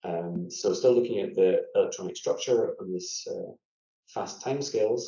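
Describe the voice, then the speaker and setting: Low Scottish man, echoey lo-fi. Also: normal speed, vocal fry, warm tone. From Scotland. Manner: thoughtful, hesitant with frequent fillers and restarts. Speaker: guest scientist. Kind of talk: casual interview. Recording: echoey room, dull lo-fi audio.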